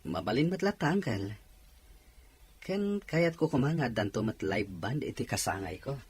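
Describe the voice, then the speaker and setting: An actor playing a respectful young nephew in a radio drama, soft-spoken and deferential. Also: male voice, mid-range voice, expressive, moderate pace, breathy edge. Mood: polite, hopeful. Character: respectful young nephew, shyly eager about his birthday party.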